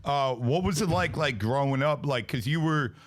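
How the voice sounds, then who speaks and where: A man hosts a casual podcast interview at an average male pitch. His delivery is informal and rambling, full of slangy, conversational turns as he works his way toward each question. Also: normal speed, slight background noise. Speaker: podcast host.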